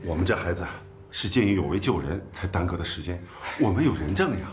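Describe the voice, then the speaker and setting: Earnest pleader in screen drama, low register, very animated delivery, scored background. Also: male voice, quick pace. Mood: pleading, earnest. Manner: pleading, emphatic. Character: earnest man pleading to a teacher for a student. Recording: music behind dialogue.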